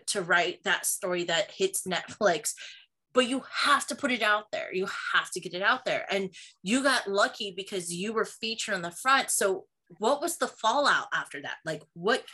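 A woman's voice: mid-range voice, sharp tone, slightly rough. A woman co-hosting a podcast on an online call, chatting casually and repeating words as she thinks aloud. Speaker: podcast co-host.

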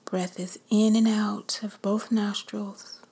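Woman meditation guide, breathy whisper, very slow and calm. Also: mid-range voice.

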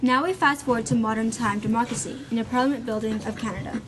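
Clear noise runs behind a young woman's somewhat high voice. She delivers scripted narration for a student radio play. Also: steady tone, fast talker. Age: young adult. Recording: clearly noisy amateur recording.

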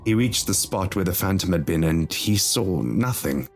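A man's voice: deep voice, animated, normal speed, soft background music. A man reading a scripted horror story as its narrator.

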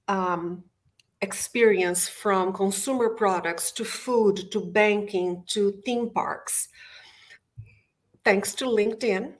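A middle-aged woman from Brazil with a Brazilian Portuguese accent, a guest in an interview telling her career story with enthusiasm from her home office. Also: medium pitch. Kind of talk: interview conversation.

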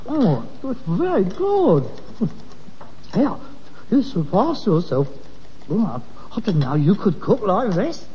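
An actor playing a touchy middle-aged grown-up son with a London accent, emphatic and theatrical in British sitcom style. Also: male voice, sits high, very animated, takes it slowly, pauses often, full character voice. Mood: contented. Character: petulant grown-up son, touchy, devoted to late mother. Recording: muffled, noisy, reverberant old sitcom recording.

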